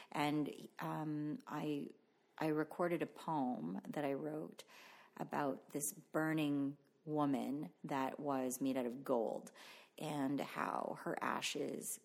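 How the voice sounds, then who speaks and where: Woman artist chatting in an interview, low voice with lots of fry, kinda reflective. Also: darkish tone. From Canada. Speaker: interview guest, visual artist. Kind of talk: casual reflective interview about own work.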